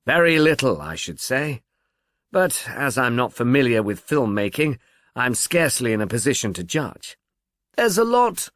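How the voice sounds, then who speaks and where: A donnish professor turned sleuth, played by a male narrator, musing and offhand. He sounds vague and pensive but moves about in pitch, as a character in an audiobook mystery. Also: sing-song, moderate pace, a bit bright, dry recording, clean studio sound. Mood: pensive, dry.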